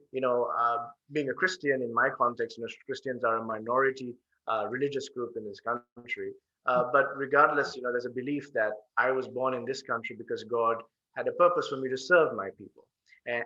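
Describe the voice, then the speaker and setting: Sri guy in his 30s, bright voice, earnest, dull webcam sound. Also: mid-range voice. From Sri Lanka. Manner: earnest, fluent, with frequent "uh" fillers. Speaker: guest, peace organization founder. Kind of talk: video-call interview. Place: home room with bookshelves. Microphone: video call webcam.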